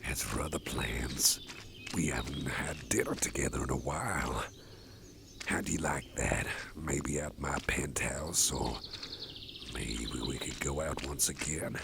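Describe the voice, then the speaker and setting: A man whispering softly and slowly in an ASMR roleplay as a caring boss carrying the listener. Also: rumbling low, gravelly, very noisy. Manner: slow, gentle, mostly whispered, soothing. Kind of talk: soft-spoken ASMR roleplay. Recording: loud outdoor nature ambience behind. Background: rural outdoor sounds with birds.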